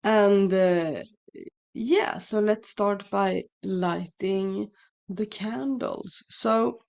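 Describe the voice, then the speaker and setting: A woman's voice: mid-range voice, drawn-out words, creaky, darkish tone. A woman with a Swedish accent, native Swedish speaker, chatting casually at home in a morning vlog, rambling and correcting herself, slightly sniffly as if coming down with a cold. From Sweden. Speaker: shamanism vlogger. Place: home indoors, dry room. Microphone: headphones with mic.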